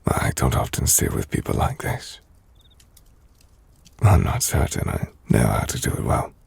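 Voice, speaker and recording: Gentle, brooding male vampire noble, acted by a man, ASMR roleplay. Breathy, husky, unhurried and measured, close and intimate. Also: very deep voice, big pitch swings, dry recording, heavily acted. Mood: wistful, tender. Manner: slow, hushed, measured, formal. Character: brooding, gentle, melancholy male vampire-blooded castle lord. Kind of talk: ASMR audio roleplay, intimate scripted monologue.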